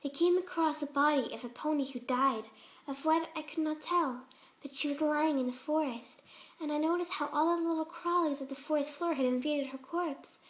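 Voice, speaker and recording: Female reader as twisted pony surgeon for a horror story: very high, gentle, cooing, serenely mad. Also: moderate pace, close to an everyday voice. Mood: calmly fervent. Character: sweet, soft-spoken, twisted female pegasus pony surgeon.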